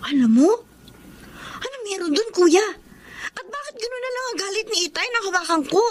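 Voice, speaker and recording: A woman's voice: very high-pitched, sing-song, a little fast, slight background noise. A woman acting a sulky, sensitive college-age daughter in a radio drama. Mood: hurt, indignant.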